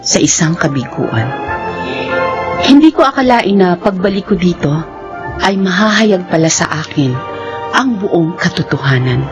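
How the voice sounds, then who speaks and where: A woman's voice: lowish voice, animated, measured pace, long pauses, over loud music. An actress with a Filipino accent playing a bitter, heartbroken young woman in an emotional radio drama style. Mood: wistful, reflective. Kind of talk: Filipino radio drama acting with inner narration.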